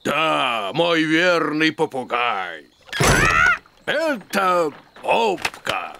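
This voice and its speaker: An actor playing a boisterous, courteous elf pirate in a cartoon, with theatrical, drawn-out exclamations. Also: male voice, on the high side, sing-song, creaky, heavy background noise, exaggerated voice. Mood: wistful. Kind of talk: cartoon dubbing.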